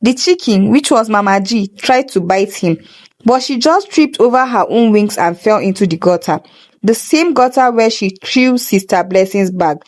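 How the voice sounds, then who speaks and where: A woman's voice: mid-range voice, expressive, moderate pace, a little mellow. A woman with a Nigerian accent telling a folktale as a dramatic storyteller. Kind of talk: dramatic folktale narration with character voices.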